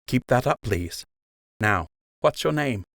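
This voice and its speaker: A man voicing a brisk, wry young female rabbit healer in an audiobook, reassuring and drily sarcastic. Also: mid-range voice, expressive, vocal fry, fairly bright tone, no room sound, studio quality, pitched above the performer's own voice, exaggerated voice. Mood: kindly, firm.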